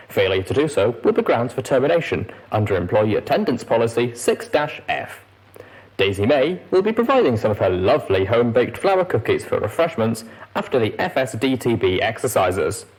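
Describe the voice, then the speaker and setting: Stiff, upbeat corporate announcer for audiobook, formal emphasis, very animated intonation, acted by a man. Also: mid-range voice, slightly rough, rough recording. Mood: officious.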